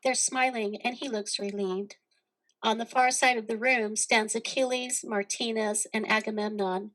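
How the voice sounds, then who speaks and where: A woman's voice: fairly high pitch, fairly even intonation, normal speed, fairly bright tone, low-quality recording. A woman reading stage directions as scripted narration for a play.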